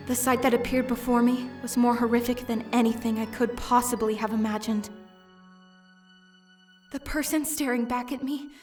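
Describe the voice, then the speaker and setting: A woman's voice: on the high side, a little mellow, distant mic, lightly acted. A woman playing a terrified female murder victim in a horror game dub, recounting the horror with anguished, theatrical intensity.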